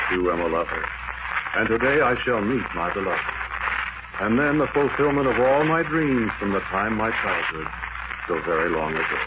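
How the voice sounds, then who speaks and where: Lovelorn dreamer, noisy old radio. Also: male voice, deep voice. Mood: hopeful. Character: lovelorn romantic man haunted by a dream of his beloved. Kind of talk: old-time radio drama monologue. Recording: noisy, muffled vintage radio recording.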